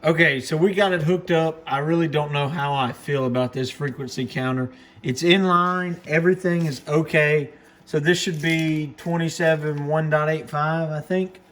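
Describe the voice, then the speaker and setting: A man hosting a casual unboxing and gear demonstration, talking in a low-key, plain-spoken, understated way. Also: fairly even intonation, normal speed, a bit bright. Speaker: host of a radio gear review channel. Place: indoor workbench in a radio shop. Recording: clearly noisy room recording.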